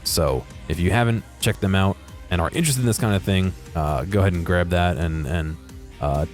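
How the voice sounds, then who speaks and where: A man's voice: sits low, moderate pace, a little mellow, soft background music. A man giving casual, unscripted commentary over game footage, with a hesitant, rambling and understated way of talking. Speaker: video creator commentating on game exploration.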